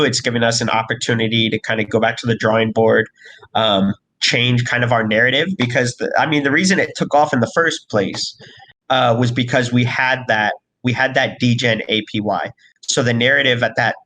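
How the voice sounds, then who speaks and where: A man from a crypto project team giving a casual update in a live voice chat, hoarse from recent illness and rambling with lots of fillers and restarts. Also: mid-range voice, a bit bright, low-quality recording. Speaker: crypto project team member hosting an AMA.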